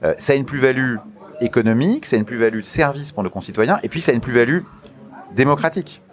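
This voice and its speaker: A man's voice: mid-range voice, fast talker. A man with a French accent answering interview questions as an official, formal and explanatory, feeling his way through phrases with repeated words. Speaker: media regulator official being interviewed. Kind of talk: radio interview, explanatory institutional talk.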